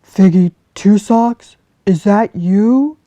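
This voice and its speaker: A woman voicing a big brown male bear, speaking loud and slow in a children's storybook reading. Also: on the low side, no echo. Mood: calling.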